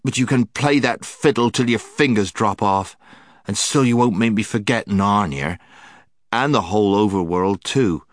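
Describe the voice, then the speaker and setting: A man's voice: lowish voice, big pitch swings, moderate pace, fairly bright tone, no room sound, studio quality. A man voicing a stubborn, gloomy male marsh creature in a storybook, plain-spoken and labouring for breath. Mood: struggling, defiant. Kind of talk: dramatised storybook reading.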